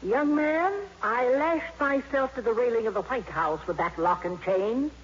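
A woman's voice: on the high side, unhurried, husky. An actress playing a proud, feisty old grandmother in a 1950s radio comedy, speaking in an emphatic, no-nonsense way. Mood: proud, indignant. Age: older. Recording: dull, noisy vintage radio broadcast.

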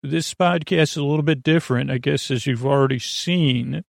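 Guy with a creaky voice doing a halting, wandering bedtime podcast monologue, really dry recording. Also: medium pitch, no echo. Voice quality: creaky, gravelly. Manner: meandering, halting, repeats and corrects himself. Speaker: podcast host. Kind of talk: rambling, whimsical bedtime monologue.

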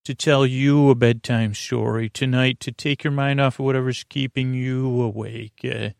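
Man, podcast host, creaky fry, flat lulling intonation, meandering, halting, bedtime storytelling. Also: medium pitch, no room sound, studio quality. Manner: lulling, meandering, halting delivery with trailing asides. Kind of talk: rambling, soothing bedtime monologue.

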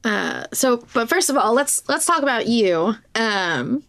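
A woman's voice: a little high, very animated, unhurried, gravelly, a bit bright, no room sound, clean studio sound. A woman hosting a casual interview, informal and reactive, feeling her way through her questions. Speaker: podcast interviewer.

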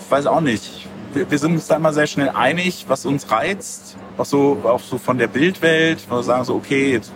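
A man chats in a casual interview as a comic creator, with a mid-pitched voice. He thinks aloud in loose, rambling clauses, over clearly audible urban background noise. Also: normal speed, few pauses.

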